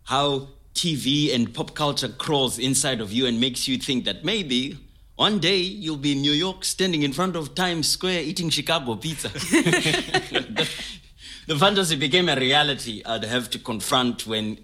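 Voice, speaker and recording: Easygoing guy telling his life story, bright clear-cut voice, kinda wry, audio drama. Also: on the high side, expressive, measured pace. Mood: animated, wistful. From Zimbabwe. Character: easygoing, wry Zimbabwean man recalling his younger days. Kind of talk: scripted oral-history storytelling in conversational manner.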